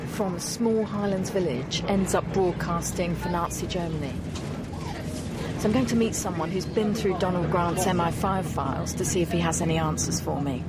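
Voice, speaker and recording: A woman's voice: fairly low pitch, moderate pace, over loud music. A woman presenting a history documentary, moving between scripted narration and interview questions.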